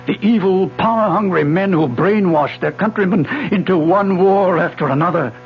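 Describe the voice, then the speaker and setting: A man's voice: high-pitched, normal speed, a little hiss or hum, natural voice. An actor playing a retired older male physics professor, obsessive and insistent, in a radio drama lecturing style. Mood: urgent. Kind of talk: 1970s radio drama acting. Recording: muffled old radio broadcast with music.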